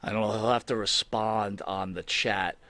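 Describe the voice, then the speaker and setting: A man's voice: fairly low pitch, fairly even intonation, normal speed, sharp tone, no room sound. A man hosting an interview, asking joking, probing questions with hesitant fillers. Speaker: podcast host. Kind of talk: casual interview chat.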